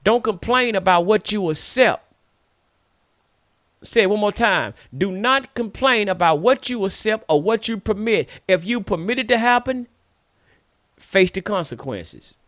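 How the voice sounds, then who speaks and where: A man's voice: sits high, expressive, measured pace, fairly bright tone, no room sound. Fired-up preachy man, dry sound. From United States. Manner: preachy, scolding, repetitive emphasis. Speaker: radio show host. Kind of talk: impassioned monologue.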